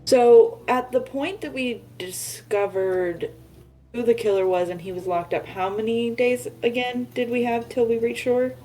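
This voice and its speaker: A woman's voice: fairly high pitch, measured pace, dark tone, soft background music, low-quality recording. A woman chatting casually as a player in a tabletop game. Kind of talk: casual chat.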